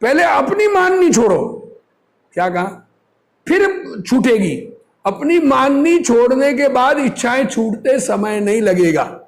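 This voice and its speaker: A man's voice: very high voice, very animated, slow pace. A man giving an informal sermon, reading from a text and explaining it didactically, repeating phrases and posing rhetorical questions. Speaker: religious teacher reading and explaining a discourse.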